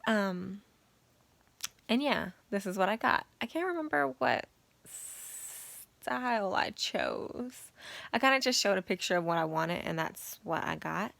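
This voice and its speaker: A young woman vlogging about her beauty routine in a casual, chatty way, with a raspy voice and lots of fillers. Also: mid-range voice, measured pace, no echo. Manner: chatty, relaxed and informal, with many fillers. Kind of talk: casual chat to camera.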